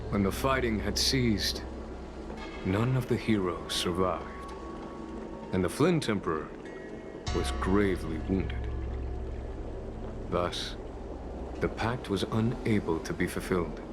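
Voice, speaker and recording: An actor playing a grave, composed general who speaks in a formal, measured, solemn way in a scripted game. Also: male voice, low-pitched, over music. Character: grave, composed male general narrating old legends. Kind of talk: scripted dramatic game monologue.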